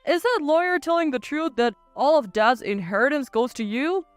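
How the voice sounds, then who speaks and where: A woman's voice: high-pitched, normal speed, dry recording, faint music behind, close to an everyday voice. A woman voicing an entitled, greedy brother in a narrated story, blustering and domineering. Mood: demanding. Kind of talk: acted dialogue in narrated story.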